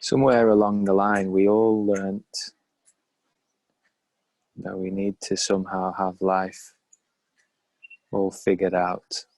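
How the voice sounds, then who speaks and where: A man leading a guided meditation in a calm, unhurried manner with measured, pausing phrases. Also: deep voice, rough recording.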